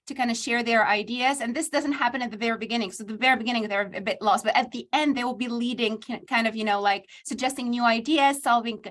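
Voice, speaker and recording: A woman's voice: on the high side, steady tone, fast talker, hardly any pauses, fairly bright tone. A woman from Tunisia, a university professor earnestly explaining how she runs AI training. She speaks spontaneously with many fillers and restarts, as if on a video call. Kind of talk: spontaneous explanation in a virtual panel.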